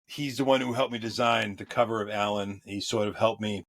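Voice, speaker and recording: Low-voiced man with a thick Boston accent. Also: a little fast, creaky, slight background noise. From New England. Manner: relaxed, chuckles often, many fillers. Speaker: guest. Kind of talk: podcast interview.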